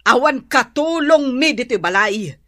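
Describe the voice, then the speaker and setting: A woman's voice: high-pitched, expressive, a little slow. A woman playing a stern, nagging middle-aged mother in a radio drama, with an Ilocano Filipino accent. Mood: scolding.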